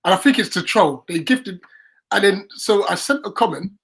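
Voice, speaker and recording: A man's voice: sits high, lively intonation, moderate pace, fairly bright tone, breathy edge. A man co-hosting a casual football fan watchalong, chatting about club news, reacting to the play and reading out viewer comments. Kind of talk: casual match watchalong chat.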